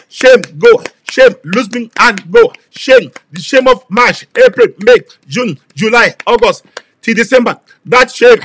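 A man's voice: very high voice, fairly bright tone, a little hiss or hum. A male pastor leading a fervent prayer sermon, repeating declarations and breaking into speaking in tongues.

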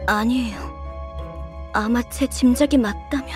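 A woman's voice: fairly high pitch, measured pace, over music, close to an everyday voice. A woman voicing a guilt-ridden young survivor in a video game. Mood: subdued.

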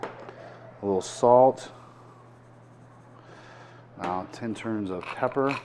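A man's voice: lowish voice, extremely slow, noisy background. Older man chatting through a cooking demo. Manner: relaxed, chatty, explains as he works. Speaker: chef and cooking show host. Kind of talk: casual cooking demonstration. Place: restaurant dining room, indoors.

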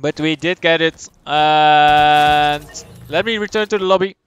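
A young man from the Netherlands with a Dutch accent, chatting casually as he streams a game, answering chat and thinking out loud. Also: on the high side, a little slow, clean tone. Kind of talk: live gameplay commentary, reading chat.

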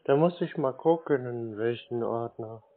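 A man in a casual phone conversation, talking in a naive, slow-witted, stalling way like a confused customer. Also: mid-range voice. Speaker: prank caller posing as a naive customer. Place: phone call. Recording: tinny band-limited phone line.

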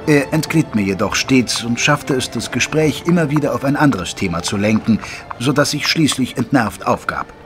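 An actor playing a loyal gentleman doctor who narrates a detective story in a measured, literary way. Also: medium pitch, close to an everyday voice. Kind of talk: scripted audio drama narration.

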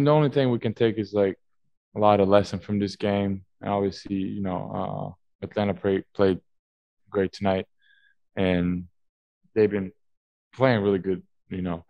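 Low-voiced young man, halting postgame answers. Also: a little mellow, no echo. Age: young adult. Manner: halting, many fillers and restarts. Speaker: basketball player at postgame press conference. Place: team media room, indoors. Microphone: table mic on stand.

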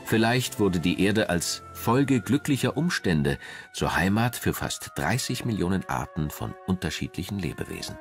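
Deep-voiced male documentary narrator. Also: very animated, normal speed, music in the background. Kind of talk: scripted science documentary narration.

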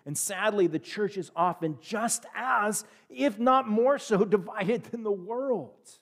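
A man in his thirties with an American accent, preaching a sermon in a church sanctuary on a headset mic, swinging from loud emphatic peaks to quiet asides. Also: high-pitched, slow pace, vocal fry. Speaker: pastor. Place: church sanctuary, standing at a pulpit.

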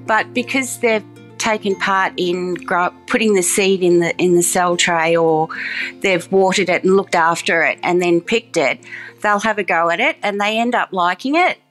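A woman's voice: fairly low pitch, moderate pace, a bit bright, soft background music. A woman speaking casually in an interview, chatty, with many ums and restarts. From Australia. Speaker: farm program coordinator.

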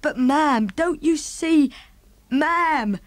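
A woman's voice: high voice, no echo, voice pushed up. A woman doing a flustered middle-aged maid. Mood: shaken. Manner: flustered, respectful, quick to fret. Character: middle-aged female maid, nervous and deferential.

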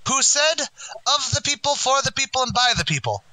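A blustering tavern manager acted by a man hosts a quiz in an old-time radio comedy. His voice is high and very sharp, with mock-grand, wisecracking delivery and a dry sound. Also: big pitch swings, moderate pace, no echo, full character voice, low-quality recording. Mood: breezy, officious. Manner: mock-grand quizmaster, malapropisms.

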